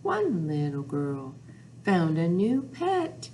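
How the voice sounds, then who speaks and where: A woman's voice: on the low side, wildly swooping pitch, takes it slowly, warm tone, slight background noise. An older woman hosting a children's storytime, talking in a simple, repetitive, sing-song way to young children in a small room. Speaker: children's librarian, storytime host. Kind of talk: children's storytime with rhymes, counting and songs. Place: small indoor room with whiteboard.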